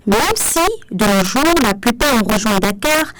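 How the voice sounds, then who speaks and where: A woman narrating a documentary in a smooth, even reading voice. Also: a little high, measured pace, a little hiss or hum, low-quality recording. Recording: clear music underneath.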